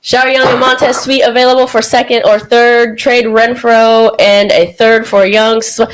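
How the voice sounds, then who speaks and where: A woman's voice: a little high, flat delivery, moderate pace, slight background noise. A young adult woman hosting a live call-in podcast, talking in upbeat, slangy banter. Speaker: podcast host. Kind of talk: live call-in show chat.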